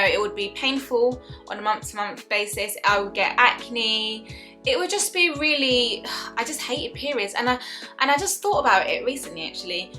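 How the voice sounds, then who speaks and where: A woman's voice: mid-range voice, pushed and bright. A woman of about thirty chatting earnestly to camera as a vlogger, with many restarts and fillers. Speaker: wellness vlogger. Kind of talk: casual talk to camera.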